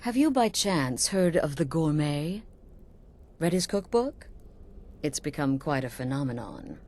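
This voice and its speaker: Low-voiced, commanding assassin leader. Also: female voice, big pitch swings, a little slow, darkish tone, slight background noise. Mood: cool, instructive. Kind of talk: video game character acting.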